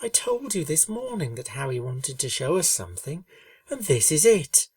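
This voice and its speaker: A woman voicing a gentle, shy young female pegasus pony in a storybook reading, speaking softly and earnestly. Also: deep voice, sing-song, moderate pace, dry recording, far from the mic. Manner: soft-spoken, earnest, kindly.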